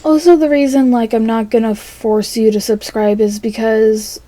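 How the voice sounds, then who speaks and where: A woman recording a casual, rambling audio log monologue in a hesitant, halting way that trails off between phrases. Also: on the high side, fairly even intonation, dark tone.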